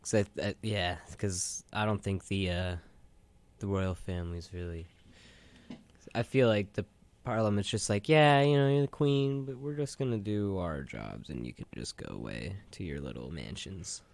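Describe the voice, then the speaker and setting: A man's voice: low voice, normal speed, vocal fry, darkish tone. A man co-hosting a podcast in casual, slangy chat, telling anecdotes and acting out imagined dialogue. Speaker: podcast co-host.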